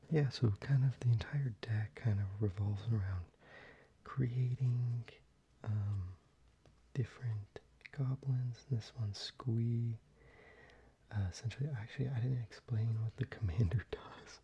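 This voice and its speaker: Male ASMR host, breathy soft-spoken whisper, very mellow and unhurried, gentle halting delivery, slightly dull recording. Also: mid-range voice. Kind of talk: soft-spoken ASMR, showing a card collection.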